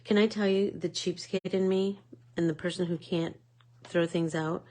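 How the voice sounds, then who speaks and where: A woman's voice: lowish voice, steady tone, moderate pace, dark tone, low-quality recording. A woman with a Midwest accent hosting a live art class, chatting casually and rambling with lots of fillers. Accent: American Midwest. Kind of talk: casual chat while painting.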